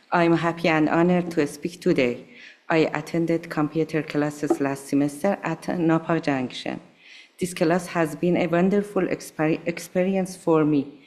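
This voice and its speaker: A woman whose first language is Farsi, reading a prepared thank-you speech at a school board meeting with careful, measured delivery. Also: lowish voice, darkish tone. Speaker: adult school student speaker. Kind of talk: prepared speech read aloud.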